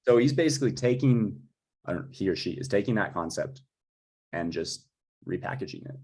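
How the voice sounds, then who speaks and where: A man talking informally about his work as a music composer, with a husky voice. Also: deep voice, lively intonation, brisk, warm tone. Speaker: music composer for audio fiction.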